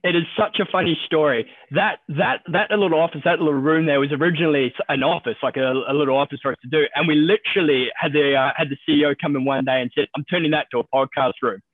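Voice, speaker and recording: A man's voice: on the high side, narrow, tinny audio. A man from New Zealand with a New Zealand accent, a stockbroker talking casually as a podcast guest, upbeat and often restarting his sentences. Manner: quick, upbeat, repeats words when starting sentences. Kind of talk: casual interview talk.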